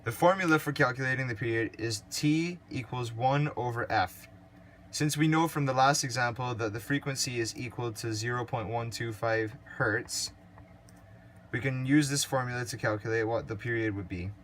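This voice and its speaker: A teenage boy slowly explaining worked physics calculations step by step for a school project. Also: medium pitch, a bit bright, a little hiss or hum. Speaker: student presenter. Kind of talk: read-aloud worked calculations.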